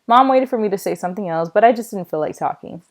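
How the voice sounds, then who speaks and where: A woman reading a children's novel aloud in a storytelling style, with expressive delivery. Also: mid-range voice, fast. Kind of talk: read-aloud storytelling with acted dialogue.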